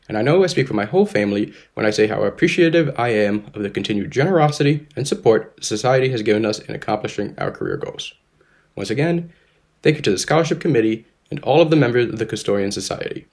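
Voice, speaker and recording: A man's voice: mid-range voice, animated, quick pace, a little mellow. A young man in his twenties, a law student, reading a polished, formal thank-you statement. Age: 20s. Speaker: law student, scholarship recipient.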